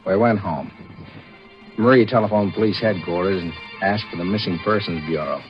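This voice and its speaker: A deep-voiced, hardboiled crook from a vintage radio thriller, played by a male actor. He is scheming and edgy and confesses in clipped phrases. The old recording is muffled, with clear music behind him. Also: normal speed, lightly acted. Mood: matter-of-fact. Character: nervous scheming male forger and killer, narrating his crime. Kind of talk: 1940s radio thriller acting.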